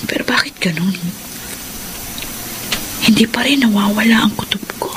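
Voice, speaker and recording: A woman's voice: medium pitch, moderate pace, background noise, close to an everyday voice. An actress with a Filipino accent playing an anxious, suspicious wife who broods over her husband in a radio drama. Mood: hushed, doubtful. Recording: loud music bed behind voice.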